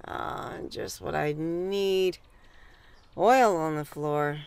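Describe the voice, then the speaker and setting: A woman playing a phony fortune teller in a radio horror drama, with a theatrical, drawn-out delivery. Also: low-pitched, creaky, darkish tone, smooth, heavily acted. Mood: flustered.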